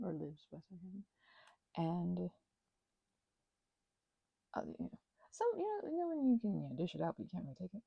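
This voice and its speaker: A woman with a mellow, breathy voice and plenty of creak chats casually in an ASMR craft ramble. Her pitch wanders freely as she drifts between tangents, poking fun at herself and muttering to whatever she is handling. She often sinks toward a near whisper. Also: no room sound, dull audio. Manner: self-deprecating, playfully sarcastic, tangent-prone, talks to herself and objects. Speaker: channel host.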